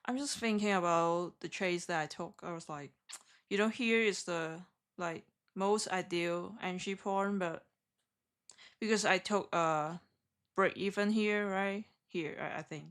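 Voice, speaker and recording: A woman from Hong Kong with a heavy Hong Kong accent, chatting with viewers on a live trading stream in halting, self-correcting English. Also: on the low side, fairly bright tone, clear, resonant tone. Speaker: day trader streaming live.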